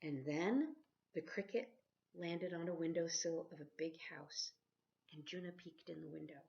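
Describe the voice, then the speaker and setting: A woman reading a children's picture book aloud expressively, like a teacher, pausing to ask her listeners questions. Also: deep voice, creaky, darkish tone. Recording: dull lo-fi sound.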